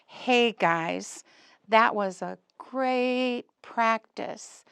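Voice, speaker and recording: A woman's voice: a little high, a bit bright, no room sound, full character voice. A woman voicing a friendly boy puppet in a children's show, earnestly asking simple questions and explaining things to young children.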